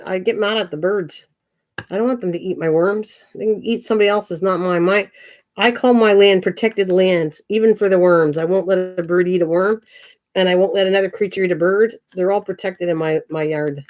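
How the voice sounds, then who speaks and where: A woman's voice: mid-range voice, darkish tone. A woman of sixty, hosting her craft channel and chatting casually with viewers, rambling and answering them by name. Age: 60s. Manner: chatty, rambling, talks back to chat by name. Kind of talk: casual livestream chat reading viewer comments.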